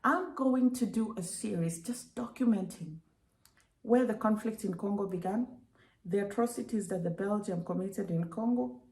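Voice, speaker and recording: A woman's voice: medium pitch, dark tone. Woman, political commentary video, rhetorical questions, emphatic, echoey room. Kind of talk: commentary to camera.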